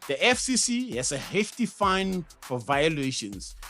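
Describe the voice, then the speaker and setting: A man's voice: on the high side, very animated, measured pace, sharp tone, soft background music. A South African man hosting an educational show, reading a scripted explainer in an emphatic presenter's manner with conversational asides. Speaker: host of an educational show on radio broadcasting.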